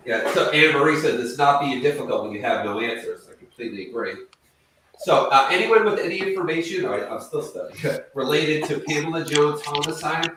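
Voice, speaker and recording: A man's voice: a little high, animated. A man hosts a live true crime show in a casual, apologetic way. His voice comes from far off the mic in a very echoey room. A faint noise sits under the sound. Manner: chatty, apologetic, reads tip numbers slowly. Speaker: host.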